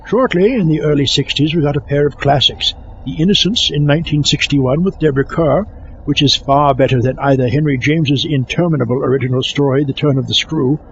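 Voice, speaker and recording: A man's voice: fairly high pitch, highs cut off, slight background noise, natural voice. A man playing a pompous, easily exasperated horror film scholar, lecturing in a comic scripted monologue.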